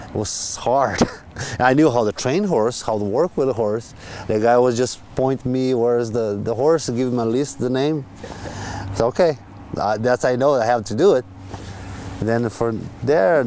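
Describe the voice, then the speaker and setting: A man's voice: medium pitch, slight background noise. A man from Brazil with a Brazilian accent and non-native English grammar, telling his own story casually as a horse trainer. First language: Portuguese. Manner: non-native grammar, animated quoting of others. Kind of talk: casual personal storytelling in a documentary interview. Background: music.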